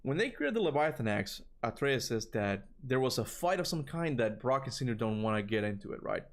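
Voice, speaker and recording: A man with a Cuban accent chatting casually on a livestream, rambling and animated, answering chat and swearing now and then. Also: medium pitch, fairly quick. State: tired voice from long talking. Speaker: gaming streamer answering chat.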